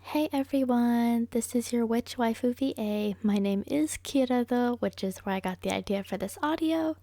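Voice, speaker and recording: A woman's voice: fairly high pitch, moderate pace, warm tone, dry recording. A young adult woman, an audio roleplay creator, chatting casually to her listeners.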